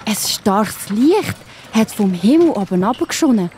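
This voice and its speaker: A woman with a Swiss German accent narrating a Bible story for children in a gentle, explaining manner. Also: medium pitch, big pitch swings, a little slow, a little hiss or hum.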